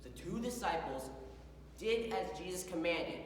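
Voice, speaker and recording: Young female, dramatic scripture reciter, expressive pitch swings, theatrical dynamics, recorded distant in a reverberant hall. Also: measured pace. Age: young adult. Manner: theatrical, swings from hushed to shouted. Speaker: youth performer at a church festival. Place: large echoey indoor room. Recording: echoey hall, far from mic, hum.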